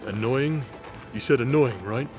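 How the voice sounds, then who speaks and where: A man's voice: medium pitch, unhurried, close to an everyday voice. A man voicing a grumpy, stubborn, sarcastic demon in a fantasy audio drama. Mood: irritated, sarcastic. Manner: curt, sardonic. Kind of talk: fantasy audio roleplay acting. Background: music.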